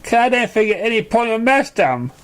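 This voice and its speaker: A man's voice: extremely high pitch, sing-song, brisk, voice pushed up. A man voicing an anxious, apologetic young girl pony in a story reading. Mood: confessing, sheepish.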